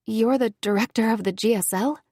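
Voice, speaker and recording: A woman voicing an eager, earnest young woman with a bright, breathless manner. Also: on the high side, fairly quick, husky, dry recording, studio quality, voice pushed up.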